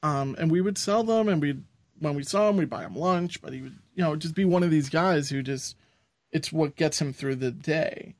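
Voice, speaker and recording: Higher-voiced opinionated male podcaster. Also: moderate pace, no room sound. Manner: frank, opinionated, rambling. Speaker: podcast co-host. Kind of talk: casual chat.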